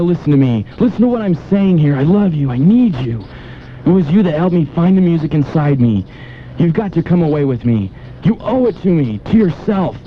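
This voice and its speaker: Casting: man playing desperate, self-absorbed pianist, insistent old-time radio melodrama, fairly high voice, lo-fi broadcast sound. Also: a little hiss or hum, lightly acted. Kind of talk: old-time radio drama acting with first-person narration. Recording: dull 1990s AM radio aircheck with music.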